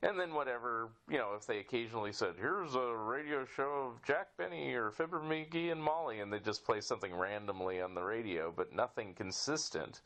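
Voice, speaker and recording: A man's voice: medium pitch, fairly quick, few pauses, fairly bright tone, rough recording. A middle-aged man hosting a podcast interview in a casual, rambling and slightly hesitant way. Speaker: podcast host.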